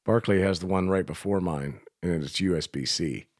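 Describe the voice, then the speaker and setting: A man's voice: low voice, normal speed, darkish tone, dry recording, studio quality. A man chatting casually as a radio show host, with an informal, teasing way of talking. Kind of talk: casual chat.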